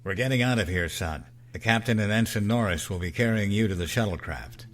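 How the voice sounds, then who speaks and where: Low-voiced fatherly ship's doctor played by a man. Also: brisk, noisy background, close to an everyday voice. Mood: firm, practical. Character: calm, fatherly male ship's doctor, reassuring, practical. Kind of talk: science fiction audio drama acting.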